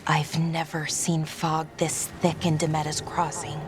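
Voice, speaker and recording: A woman's voice: sits low, level tone, a little slow, music in the background. A woman playing a composed, watchful, probing investigator in a video game drama. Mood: uneasy.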